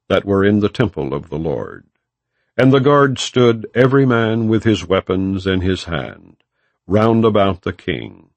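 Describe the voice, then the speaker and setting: Deep-voiced man reading scripture solemnly. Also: vocal fry, a little mellow, no room sound. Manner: measured, formal phrasing. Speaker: audiobook narrator.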